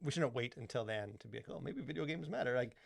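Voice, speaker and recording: A man's voice: mid-range voice, animated, fast talker, vocal fry, no room sound, studio quality. A man co-hosting a podcast in casual chat, rambling with lots of "like" and "you know". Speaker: podcast co-host.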